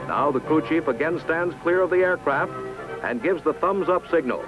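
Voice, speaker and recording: A man's voice: on the high side, normal speed, music in the background. A man reading scripted 1960s military training film narration in a formal, instructional way. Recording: dull vintage film soundtrack.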